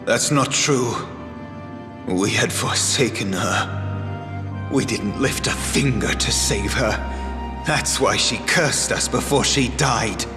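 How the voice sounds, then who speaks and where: Casting: male actor as injured young game prince, bitter and guilt-laden, unhurried, strong reverb. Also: medium pitch, natural voice. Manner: slow, pained, brooding delivery. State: in pain. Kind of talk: scripted video game voice acting. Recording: echoey hall reverb under loud music.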